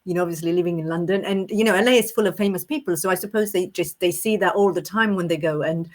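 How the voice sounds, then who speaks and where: A woman's voice: on the low side, quick pace. A middle-aged woman from Romania hosting a conversational interview podcast, playful and often searching for her words. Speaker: podcast host.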